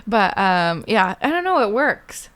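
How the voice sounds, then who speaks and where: A woman's voice: medium pitch, big pitch swings, a little slow, fairly bright tone. A woman co-hosting a book podcast, speaking informally with wry, joking remarks. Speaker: podcast co-host. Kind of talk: casual book chat.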